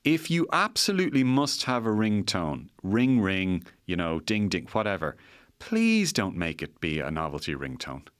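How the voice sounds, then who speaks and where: A man's voice: low-pitched, huge pitch swings, normal speed, dry recording, clean studio sound. A middle-aged Irish man hosting a podcast, arguing his opinions emphatically with an exasperated edge and repeating points for effect. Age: middle-aged, forties or fifties. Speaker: podcast host.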